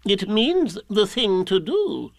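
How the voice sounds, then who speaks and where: A man voicing a fussy, self-important owl in a children's storybook, speaking in a formal, drawn-out way. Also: high voice, big pitch swings, vocal fry, no echo, pitched above the performer's own voice, exaggerated voice. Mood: pompous, insistent. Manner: pompous, formal, drawn-out delivery.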